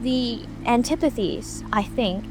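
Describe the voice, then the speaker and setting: A woman's voice: on the high side, slow pace, over music, poor audio. A woman playing a curious, polite little girl who chatters and wonders to herself in a dramatic audiobook. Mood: curious wondering. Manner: musing aloud to herself.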